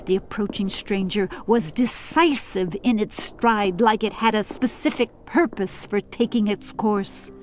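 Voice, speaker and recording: A woman narrates an audiobook tale at an unhurried pace. Her pitch sits in the middle range and her delivery is deliberate and dramatic, with faint music underneath. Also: darkish tone. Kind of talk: scripted storybook narration.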